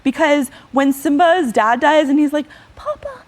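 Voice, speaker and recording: A woman chatting in a group conversation, lively and open about her feelings. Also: high-pitched, unhurried. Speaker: panel participant.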